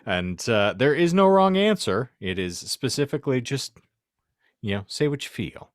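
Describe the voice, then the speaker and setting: A man's voice: mid-range voice, very animated, normal speed, no room sound, studio quality. A man hosting a casual podcast, bantering and reading aloud in a wry, mock-grandiose way. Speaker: podcast host.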